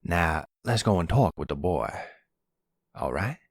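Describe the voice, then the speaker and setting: A man playing a protective, calm older mafia grandfather in an ASMR roleplay, speaking gently in a folksy way. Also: sits low, measured pace, husky, no room sound, clean studio sound, heavily acted. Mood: concerned, steady. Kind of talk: ASMR boyfriend roleplay acting.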